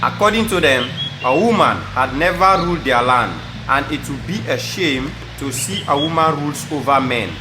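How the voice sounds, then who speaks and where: A man's voice: on the high side, animated, moderate pace, hardly any pauses. A man with a Sierra Leonean accent narrating a scripted audio drama. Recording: echoey room. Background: music.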